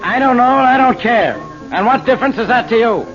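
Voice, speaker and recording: Blustering cop, gruff, curt, rapid-fire, impatient, muffled 1940s radio drama sound. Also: male voice, extremely high pitch, expressive, music in the background. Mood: gruff, demanding. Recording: muffled old radio recording.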